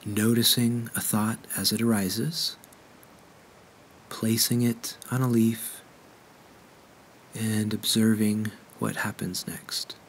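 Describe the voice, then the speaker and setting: Slow, low-voiced male meditation guide. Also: flat delivery, slight background noise. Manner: gentle, unhurried, measured pauses.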